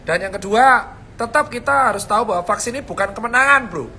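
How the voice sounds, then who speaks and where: A man's voice: sits high, lively intonation, fairly quick, pushed and bright, slight background noise. A man talking casually to the camera, his blunt, fierce delivery sounding almost angry, sprinkling his advice with slangy calls of boss and bro. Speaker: vlogger giving health advice. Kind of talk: casual direct-to-camera talk.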